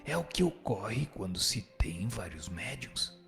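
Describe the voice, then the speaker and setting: A man's voice: on the low side, very animated, unhurried, gravelly, a bit bright, faint music behind, heavily acted. A man playing a solemn spirit instructor in a radio drama, reciting didactic answers in an admonishing, formal way. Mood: solemn, instructive. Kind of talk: dramatized reading of a spiritist text.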